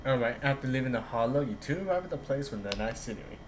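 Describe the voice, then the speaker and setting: A man's voice: mid-range voice, animated, fast talker, noisy background. A man streaming a game, giving casual playthrough commentary and reading game text aloud.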